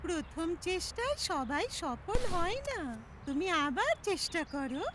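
A woman's voice: extremely high pitch, very animated, a little slow, very noisy, full character voice. A woman voicing an encouraging, caring grown-up who looks after children in a cartoon, speaking in a nurturing, instructive way. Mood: gentle, encouraging. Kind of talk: animated children's cartoon dialogue. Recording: music behind the voice. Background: music.

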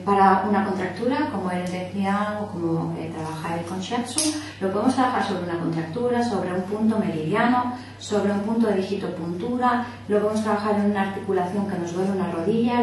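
A woman's voice: mid-range voice, a little mellow. A woman, explaining to a small workshop group and checking in often to make sure everyone follows. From Barcelona area, Spain. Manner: explanatory, checks in often with "¿vale?", demonstrating with hands. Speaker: workshop instructor. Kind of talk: informal teaching talk to a small group. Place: small indoor meeting room. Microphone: far camera. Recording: echoey room from far off, faint hiss.

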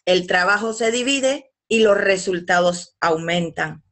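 Woman, webinar presenter reading slides, halting delivery with filler words, mid-pitched, video-call mic. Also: animated, a bit bright. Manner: hesitant, fills pauses with "pues" and restarts.

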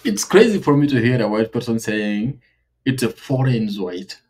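A young man with an African accent, reacting to a comedy clip in a small indoor room. He speaks in an earnest, wondering way, with a medium pitch. He is recorded close on earbuds. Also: expressive, normal speed, a little mellow. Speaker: reaction video host. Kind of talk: casual reaction commentary.

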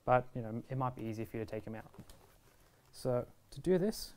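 A young man presents a how-to guide in a casual voice. He explains each step in an easygoing, reassuring manner, at a medium pitch. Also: lively intonation, fairly quick, warm tone. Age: 20s. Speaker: product reviewer and presenter. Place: indoor shop video studio, standing at a table.

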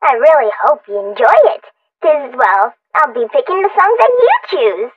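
A woman voicing an energetic little animatronic bunny girl, a cutesy, singsong cartoon daycare host with a menacing side. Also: high-pitched, normal speed, highs cut off. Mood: cheerful, sweet. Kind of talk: character voice acting demo lines. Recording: echoey hall, distant from the mic.

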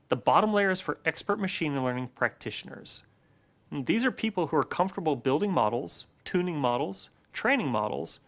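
Man, forties, keynote presenter, measured delivery, gravelly, slightly high pitch, studio lapel mic. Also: fairly bright tone.

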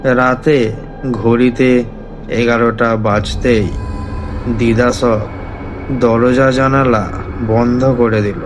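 A man's voice: medium pitch, level tone, slow, pauses often, over music, poor audio. A man narrating a scripted village ghost story as a horror storyteller.

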